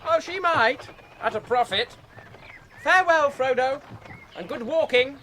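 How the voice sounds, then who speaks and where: An actor playing a cheerful, brisk young hobbit in a radio fantasy drama, chatty and teasing. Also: male voice, very high-pitched, lively intonation, pushed and bright, a little hiss or hum, full character voice.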